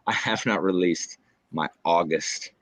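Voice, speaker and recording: A low-voiced man in his late thirties riffs with friends on a video call, animated and incredulous in his jokes. His deep voice comes through with clear background noise on the line. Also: slow. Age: 30s. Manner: animated, incredulous, joking rants. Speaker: booktuber guest. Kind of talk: casual video-call chat. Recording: noisy video call audio.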